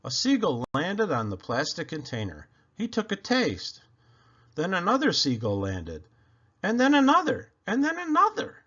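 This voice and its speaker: A man reading a children's book aloud with expressive, emphatic delivery. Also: high-pitched, moderate pace, dull audio. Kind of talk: animated storybook read-aloud narration.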